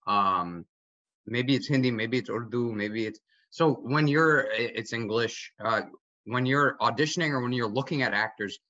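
A man's voice: mid-range voice, normal speed, bright, forceful tone, no room sound. A young man in his twenties, an actor, asking an eager, winding question over a video call from a home kitchen. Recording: dull, dry video-call audio.

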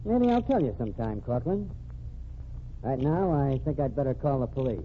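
A cocky, wisecracking newspaperman, as an actor plays him in a vintage radio drama, with a lively, swinging delivery. He sounds cool and quietly menacing. The muffled old transcription carries a faint hiss. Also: mid-range voice, moderate pace, natural voice. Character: cocky undercover ex-reporter man, cool tough. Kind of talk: 1940s radio acting.

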